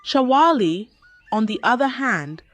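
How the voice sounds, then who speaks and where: A woman narrating a scripted folktale in a measured, dramatic storytelling manner. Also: a little high, animated.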